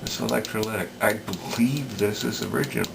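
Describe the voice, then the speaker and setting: A man giving unscripted workbench commentary as a repair hobbyist, hesitant and halting as he thinks aloud. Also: fairly low pitch. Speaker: radio repair hobbyist demonstrating work. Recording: clear background noise.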